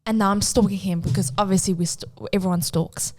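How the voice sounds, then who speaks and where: A woman co-hosting a podcast, chatting casually in an animated, opinionated way. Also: mid-range voice, fairly quick. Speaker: podcast co-host. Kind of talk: casual group banter.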